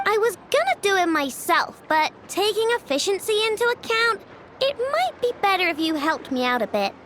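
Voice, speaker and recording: Very high, bubbly little inventor girl. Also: very animated, normal speed, a bit bright, slight background noise, heavily acted. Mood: breezy, eager. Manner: chatty, breezy, self-assured. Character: bubbly stubborn little inventor girl who loves tinkering. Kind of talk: video game character acting.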